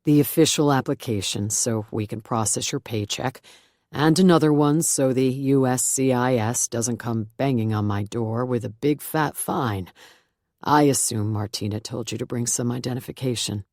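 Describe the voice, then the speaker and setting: A gentle, fatherly church pastor, put on by a woman reading the book, speaks in a lowered, unhurried voice. His manner is soothing and patient. The sound is dry and close, with no room around it. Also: no echo. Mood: welcoming. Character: warm, patient male pastor, middle-aged.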